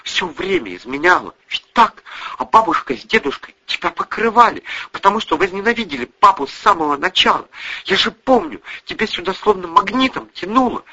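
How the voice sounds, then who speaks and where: Shrill, defiant teenage daughter. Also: male voice, lively intonation, normal speed, hardly any pauses, bright, forceful tone, highs cut off, heavily acted. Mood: accusing. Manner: emotional, exclamatory, accusing.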